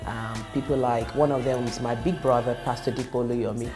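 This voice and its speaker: A woman whose first language is Yoruba, a gospel singer telling a personal testimony in an earnest, reflective way, pausing and restarting as she talks. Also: extremely low pitch, fairly even intonation, over music.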